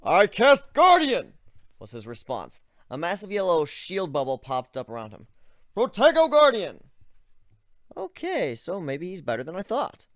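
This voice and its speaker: A man giving a dramatic first-person reading of a story, expressive and close to the mic. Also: on the high side, moderate pace, few pauses, no echo. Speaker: story reader.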